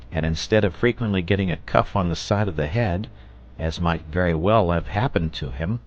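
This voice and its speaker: A man narrating a children's story as an audiobook reader, in an expressive storytelling manner. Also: sits low, muffled recording.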